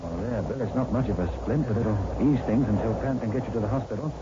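An actor playing a sceptical, reassuring and slightly impatient husband in a 1960s radio drama. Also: male voice, fairly low pitch, fast talker, lightly acted. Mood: concerned. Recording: noisy, muffled old radio recording.

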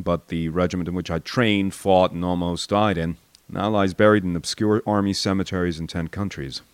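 An American man narrating a first-person memoir as an expressive audiobook reader. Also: low voice, dry recording. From United States.

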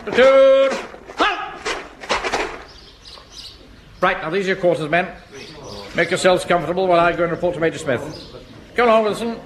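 A bossy flustered english army captain, radio sitcom, voice jumps all over, lots of background noise. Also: male voice, sits high, animated, fast talker. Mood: commanding. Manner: pompous, barking orders, blustering. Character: pompous, flustered Home Guard captain, bossy and impatient. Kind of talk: British radio sitcom acting. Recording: noisy old radio comedy recording.

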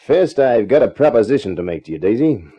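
A husky hard-boiled private eye. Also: male voice, mid-range voice, very animated, fast, mellow. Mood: wry. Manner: dry, wisecracking, laconic. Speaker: narrator and private detective lead. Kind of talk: 1950s radio drama acting. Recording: dull 1950s radio recording.

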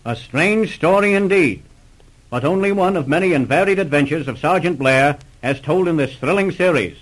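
Guy announcer for an old radio serial, bit high pitched, 1940s style. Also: normal speed, poor audio.

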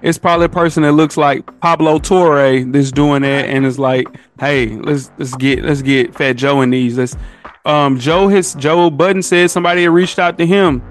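A man's voice: fairly high pitch, fairly even intonation, normal speed, faint music behind. A man chatting casually on a podcast, opinionated and emphatic, using slang and repeating his points for stress. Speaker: podcast guest.

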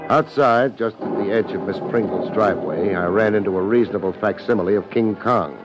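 An actor playing a wry, unflappable private detective in a 1950s radio drama, laconic and full of deadpan delivery. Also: male voice, fairly low pitch, fairly quick, loud music behind the voice, close to an everyday voice. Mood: hard-boiled narrating. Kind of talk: 1950s radio drama acting, hard-boiled narration. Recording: noisy, dull 1950s radio recording.

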